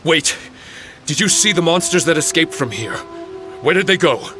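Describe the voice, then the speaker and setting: A man's voice: fairly high pitch, lively intonation, normal speed, pushed and bright, faint music behind. A man voicing a small, conscientious paper guardian spirit in a video game, hesitant and earnest in delivery. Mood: anxious.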